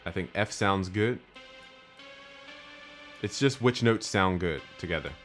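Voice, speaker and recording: A young man with a very low voice, walking through a music production tutorial step by step. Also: a little slow. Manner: step-by-step, instructive. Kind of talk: screen-recorded software tutorial.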